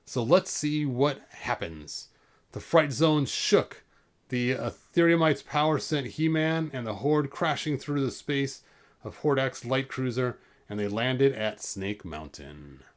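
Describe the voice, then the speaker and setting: Man, medium pitch, livestream host, casual storybook narration, informal, occasional stumbles and asides. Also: measured pace. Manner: informal, stumbles over words and breaks off for asides. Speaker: host reading a storybook aloud to online viewers.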